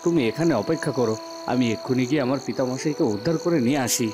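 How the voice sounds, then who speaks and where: A brave young cartoon prince, played by a man, speaks earnestly and protectively in a mid-range voice. Clear night insect noise sits behind him. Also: mid-range voice, fast talker, close to an everyday voice. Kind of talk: Bengali fairy tale cartoon dubbing.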